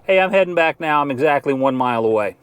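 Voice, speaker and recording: A middle-aged man with a slightly high voice talks casually through a gear review. He sounds informal and enthusiastic, often repeating a word for emphasis. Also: lively intonation, fast talker. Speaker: host of an outdoor gear review channel. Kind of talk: casual product review vlog.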